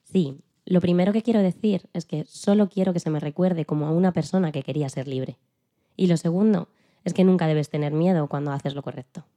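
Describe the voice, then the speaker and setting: A woman plays an elderly female activist telling her story in a scripted interview. Her voice is very soft-edged and dark, slightly low, and moves along quickly. She stays calm, earnest and plain-spoken, with quiet dignity. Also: natural voice. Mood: calm, reflective. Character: Rosa Parks, elderly woman activist recalling the bus boycott, dignified. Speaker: podcast guest in a dramatized interview. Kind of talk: scripted first-person storytelling in interview form.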